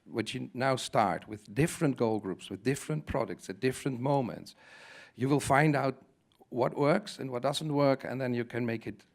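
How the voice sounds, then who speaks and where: A man's voice: medium pitch, moderate pace, vocal fry. A man speaking on a conference panel, reflective and thinking aloud in loose, searching phrases. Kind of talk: conversational panel discussion.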